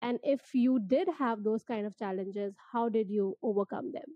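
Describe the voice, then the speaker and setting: A woman hosting an interview, asking questions in a measured, complimentary way. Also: medium pitch. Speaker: host. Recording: muffled call audio.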